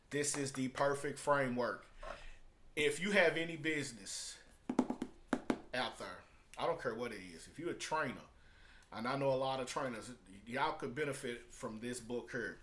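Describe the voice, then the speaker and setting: A man's voice: medium pitch, normal speed, a bit bright. A man talking in a casual vlog review style, conversational and emphatic, repeating phrases to drive points home. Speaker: product reviewer and online marketer.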